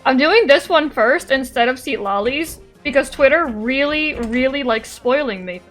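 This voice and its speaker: High-pitched, animated woman streamer. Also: normal speed, rough recording. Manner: playful, giggly, reading and answering chat. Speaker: game streamer. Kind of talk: casual livestream chat while gaming. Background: game music.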